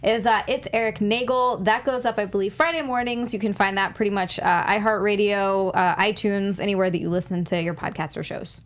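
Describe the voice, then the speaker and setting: A woman with a mid-pitched voice chats on an entertainment panel. Her delivery is casual and conversational, like someone sharing opinions among colleagues. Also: fairly even intonation, fairly quick, hardly any pauses. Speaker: panelist on a movie news show.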